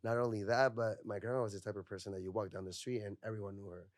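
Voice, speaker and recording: Guest: low-voiced young man from Puerto Rico, reflective and candid, dry close sound. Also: steady tone, rapid-fire, dry recording. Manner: reflective, heavy use of "like" and "you know". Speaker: podcast guest, mental health counselor. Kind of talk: candid personal conversation.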